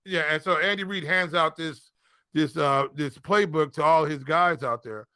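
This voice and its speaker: A man's voice: on the high side, moderate pace, pushed and bright, smooth, dry recording, studio quality. A man from Indiana co-hosting a casual sports chat in a joking, folksy way, often stammering over repeated words. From Indiana, United States. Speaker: sports podcast co-host. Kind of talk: casual fantasy football chat.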